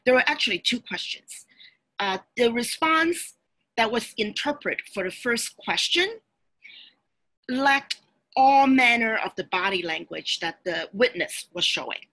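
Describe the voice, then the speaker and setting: A woman's voice: fairly high pitch, unhurried, poor audio. A woman lawyer whose first language is Cantonese, giving an instructive webinar talk over a video call from a small room. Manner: explanatory, steady, instructive. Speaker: lawyer and presenter. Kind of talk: webinar lecture. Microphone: video call webcam.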